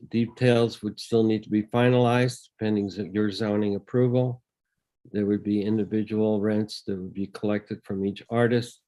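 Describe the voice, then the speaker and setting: An older man from the Netherlands, reading a prepared statement at public comment over a video call, measured, with frequent ums and uhs. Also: lowish voice, dark tone. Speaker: resident speaking at public comment.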